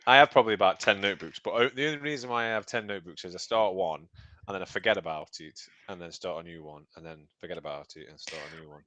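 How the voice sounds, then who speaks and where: A man's voice: low-pitched, fast, pushed and bright, dry recording, low-quality recording. An English man co-hosting a casual podcast chat, a bit nasal from a cold, joking around. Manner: joking, chatty. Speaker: podcast co-host.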